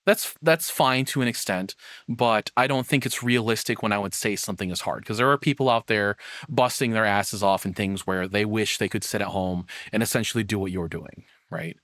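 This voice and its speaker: A man's voice: fairly low pitch, normal speed, fairly bright tone, no room sound, clean studio sound. A man giving unscripted reaction commentary as a streamer, rambling and questioning himself in casual language.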